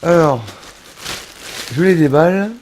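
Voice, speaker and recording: A man's voice: on the high side, slow pace, a little mellow, slight background noise. A man of thirty chatting casually through an unboxing vlog in his home studio, full of fillers and restarts. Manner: chatty, many fillers and restarts, addresses viewers as little rabbits. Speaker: roller skating vlogger.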